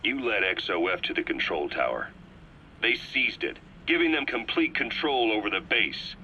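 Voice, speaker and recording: An actor playing a cold, calculating military interrogator in a video game cutscene, speaking with raspy, deliberate menace as he accuses. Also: on the low side, big pitch swings, bright, forceful tone, lo-fi sound, slight background noise, full character voice. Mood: cold accusation.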